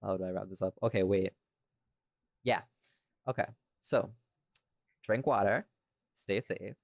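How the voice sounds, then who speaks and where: A man's voice: on the low side, a little slow, vocal fry, dry recording, clean studio sound. A man hosting a casual behind-the-scenes podcast chat in a rambling, informal way. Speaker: podcast host and audio creator.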